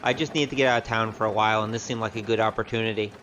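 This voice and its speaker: A man's voice: fairly low pitch, steady tone, very fast, a bit bright, a little hiss or hum, close to an everyday voice. A man playing a cheeky, easygoing young wandering gambler in an audio drama, with a wry, glib delivery. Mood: playful, wry. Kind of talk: scripted audio drama acting.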